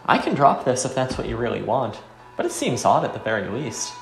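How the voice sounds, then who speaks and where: Man as visual novel fighter, bold, formal, challenging, gravelly, faint music behind. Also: mid-range voice, very animated, brisk, reverberant. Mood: resolute, calm. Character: bold male wandering fighter, stoic and defiant. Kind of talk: amateur visual novel voice acting.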